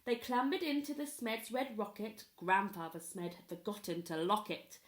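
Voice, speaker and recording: A woman reading a children's story aloud in an expressive storytelling style. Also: medium pitch. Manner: pauses to ask young listeners questions. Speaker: storyteller at a primary school.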